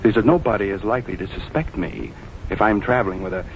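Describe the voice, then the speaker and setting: He is a menacing fugitive from a 1940s radio crime play. His voice sits very low and stays courteous while it threatens. The old recording is dull, with a clear layer of noise. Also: fast. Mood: calm menace. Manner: polite, genteel, calmly threatening. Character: soft-spoken, courteous, menacing fugitive male killer.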